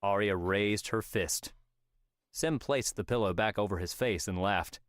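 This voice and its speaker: A man's voice: lowish voice, measured pace, no echo, clean studio sound. A man reading scripted story narration as an audiobook narrator. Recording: music under the voice.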